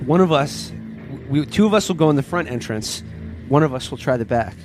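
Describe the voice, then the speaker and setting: A man's voice: on the high side, expressive, brisk, faint music behind. A man playing a tabletop game, casually proposing plans in a hesitant, repetitive way. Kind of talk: casual planning during a game.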